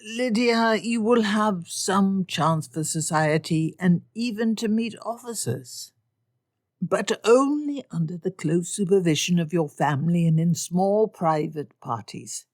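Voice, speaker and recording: A woman voicing a weary father with dry, deadpan wit. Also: on the low side, a little slow, no room sound. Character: weary dry-witted father of five daughters.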